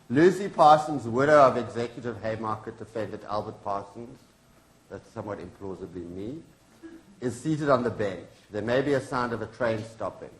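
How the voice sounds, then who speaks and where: Low-voiced man presenting in an echoey room. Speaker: event presenter and play reader. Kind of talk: informal introduction and stage-direction reading at a live event. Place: echoey indoor event room. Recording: echoey room with clear background noise.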